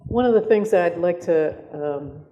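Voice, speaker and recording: Older guy, very mellow dark voice, moderating and kind of lecturing like a professor. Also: high-pitched, lively intonation, dull audio. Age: 60s or older. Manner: professorial, measured explaining.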